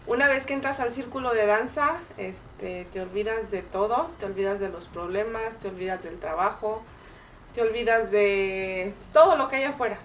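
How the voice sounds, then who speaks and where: A woman's voice: mid-range voice, brisk, faint music behind. A woman giving an interview testimony as a member of a dance circle, recorded from a distance. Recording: distant mic, ambient background noise.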